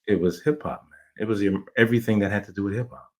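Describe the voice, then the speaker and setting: Enthusiastic low-voiced middle-aged man. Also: fast, a little mellow. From Jamaica, raised in New York. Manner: enthusiastic, rambling with restarts. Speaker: playwright, guest. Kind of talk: casual interview storytelling.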